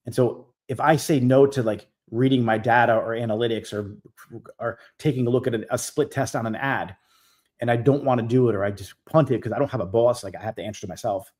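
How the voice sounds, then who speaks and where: A man's voice: medium pitch, a little mellow, slightly rough. Emphatic man in his forties. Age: 40s. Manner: direct, emphatic, repeats key points. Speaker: host. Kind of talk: live stream Q&A coaching.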